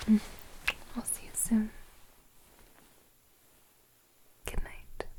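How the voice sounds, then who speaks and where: A woman playing a gentle, flirtatious woman in her forties in an intimate ASMR romance roleplay, speaking softly and unhurriedly in a breathy near-whisper. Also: natural voice, poor audio. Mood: affectionate. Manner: soft, tender, hesitant, unhurried flirting.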